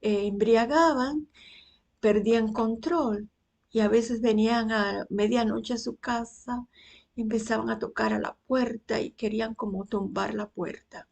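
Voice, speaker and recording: Cuban woman, 60s, faith testimony; husky voice, slow and unhurried, frequent pauses. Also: fairly high pitch, steady tone, a little mellow. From Cuba. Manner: unhurried, pausing often between phrases.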